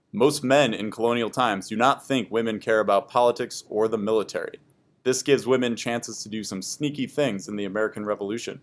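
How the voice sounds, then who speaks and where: Male narrator, medium pitch, scripted educational history read-aloud.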